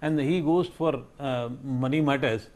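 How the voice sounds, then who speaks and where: A man with an Indian accent speaking as a panel guest in halting, fragmented sentences. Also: mid-range voice, darkish tone. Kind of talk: panel discussion.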